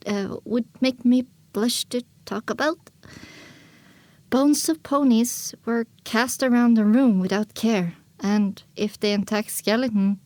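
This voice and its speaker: A woman's voice: on the high side, dry recording. A woman reading scripted first-person story narration for an audio drama.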